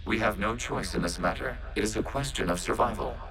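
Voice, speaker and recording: A man playing a calm, logical machine intelligence in a video game, speaking in a formal, measured, emotionless way. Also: on the low side, crowd noise, lightly acted, low-quality recording. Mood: measured, matter-of-fact. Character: calm, logical male-voiced robotic AI collective.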